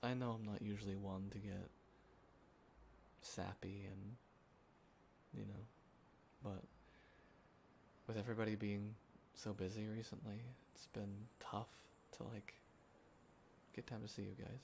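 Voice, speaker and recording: Low-voiced, sentimental young man played by an actor. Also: little pitch movement. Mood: wistful, sincere. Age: young adult. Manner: casual, halting, soft-hearted rambling. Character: sentimental young man catching up with a missed close friend. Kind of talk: intimate conversational roleplay audio acting. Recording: clear background ambience noise. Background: quiet city ambience.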